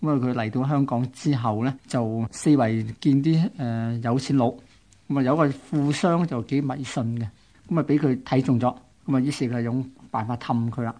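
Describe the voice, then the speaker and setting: Hong Kong Cantonese man, mid-pitched storyteller. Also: steady tone, normal speed, mellow. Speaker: radio co-host. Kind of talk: conversational storytelling of local history.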